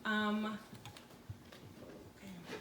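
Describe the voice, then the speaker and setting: A middle-aged woman whose first language is French gives a public talk with a French-influenced accent. She speaks very slowly and haltingly, pausing often. Her voice is heard from a distance in an echoey room, with faint ambience behind it. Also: mid-range voice, flat delivery, noisy background. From Congo. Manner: hesitant, halting, many pauses and fillers. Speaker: food entrepreneur speaker. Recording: roomy, distant from the mic, faint background ambience.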